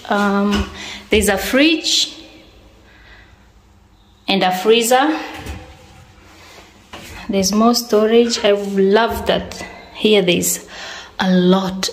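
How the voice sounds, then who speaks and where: A woman in her thirties giving a casual, excited tour of her new apartment, filmed on a phone. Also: medium pitch, slight background noise. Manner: excited, simple repeated phrases. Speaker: vlogger. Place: empty apartment with bare rooms. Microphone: phone camera. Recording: echoey empty rooms.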